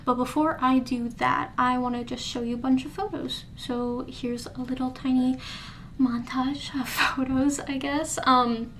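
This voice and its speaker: A woman's voice: high voice, a little hiss or hum. A woman in her early twenties talking candidly to the camera in her bedroom, rambling with restarts in a casual diary vlog. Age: early 20s. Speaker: vlogger. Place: bedroom indoors. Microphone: camera in front of her face.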